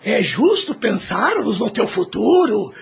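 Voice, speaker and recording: Casting: male actor as kindly old grandfather, very husky, slow, solemn and formal, audio drama. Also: sits high, big pitch swings, exaggerated voice. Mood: earnest, concerned. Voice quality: husky, creaky. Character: gentle, solemn old grandfather, affectionate and grave.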